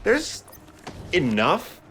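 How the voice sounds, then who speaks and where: A man's voice: high voice, huge pitch swings, extremely slow, fairly bright tone, slight background noise. A man hosting a let's play, giving casual, explanatory gameplay commentary.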